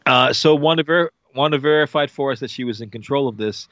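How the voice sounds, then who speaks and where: A man's voice: mid-range voice, a little fast, dry recording, muffled recording. Man recapping an episode, filler-heavy. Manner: rambling, filler-heavy, animated retelling. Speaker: podcast host recapping a TV episode. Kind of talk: casual chat.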